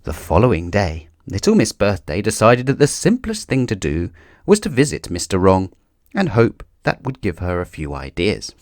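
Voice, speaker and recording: A man reading a children's story aloud in an expressive narrating style. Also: fairly low pitch, normal speed, dry recording, clean studio sound.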